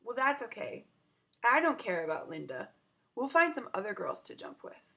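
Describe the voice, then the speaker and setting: A woman's voice: a little high, very animated, normal speed, gravelly, sharp tone, exaggerated voice. A woman reading the part of an earnest, easily hurt young schoolgirl in a children's story, plain-spoken. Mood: hurt, shrugging.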